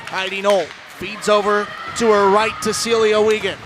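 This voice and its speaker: A man doing animated, projected play-by-play commentary on a live ice hockey broadcast. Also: high-pitched, normal speed. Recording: loud crowd and arena noise behind. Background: ice rink crowd.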